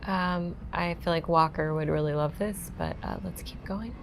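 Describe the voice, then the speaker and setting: A woman's voice: lowish voice, steady tone, normal speed. A woman playing a tabletop role-playing game, chatting casually with the group. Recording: clear background noise.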